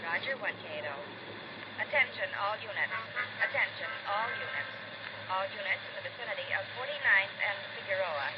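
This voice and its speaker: A woman's voice: mid-range voice, expressive, a little fast, loud music behind the voice, lightly acted. A woman playing a formal, impersonal police radio dispatcher in a 1950s radio drama, calling out bulletins. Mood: official, impersonal. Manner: formal, impersonal, repetitive call-outs. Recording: muffled, very noisy vintage radio recording.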